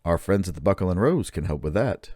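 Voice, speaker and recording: A man's voice: low-pitched, expressive, fast, darkish tone, no room sound, clean studio sound. A man delivering scripted announcements for a radio play and its sponsor. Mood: measured. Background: plucked guitar music.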